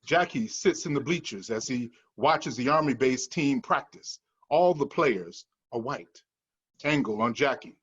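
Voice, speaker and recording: A man's voice: mid-range voice, expressive, unhurried, a bit bright, husky, highs cut off. A man reading the stage directions of a screenplay at a table read in a matter-of-fact manner.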